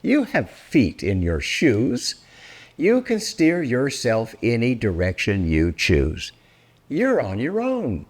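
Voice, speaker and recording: Older man, animated, slow storybook reader. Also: lowish voice. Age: 60s or older. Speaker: storyteller and host. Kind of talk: expressive children's storybook read-aloud.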